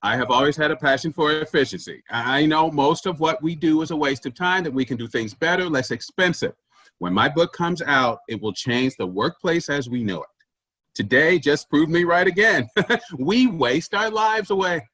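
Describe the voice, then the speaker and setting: A man's voice: a little high, expressive, moderate pace, fairly bright tone, no room sound. A man in a staged comedy reading playing a pushy, self-important office boss who preaches efficiency emphatically. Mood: insistent, boastful.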